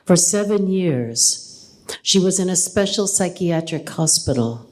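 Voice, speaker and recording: A woman reading an English translation of a poem aloud in a calm, measured way at a live reading. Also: lowish voice, rough recording. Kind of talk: poetry reading. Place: gallery reading event.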